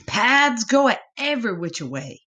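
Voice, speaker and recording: A woman's voice: fairly high pitch, sing-song, slow, fairly bright tone, voice pushed up, full character voice. A woman voicing a doting mama possum in a storybook, affectionate and dramatically cautionary. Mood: alarmed warning.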